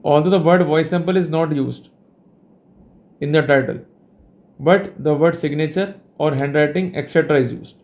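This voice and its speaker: A man with an Indian accent speaks as a lecturer, in a somewhat high voice. His delivery is formal and deliberate, explaining step by step and leaning on repeated linking phrases. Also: a little mellow. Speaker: legal lecturer.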